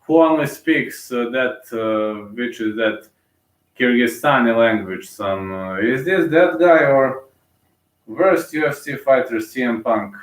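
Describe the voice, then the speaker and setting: A man's voice: medium pitch, slow, echoey room, recorded from a distance. A man from Bulgaria with a Bulgarian accent, casually commentating a live fight and rambling with many fillers and restarts.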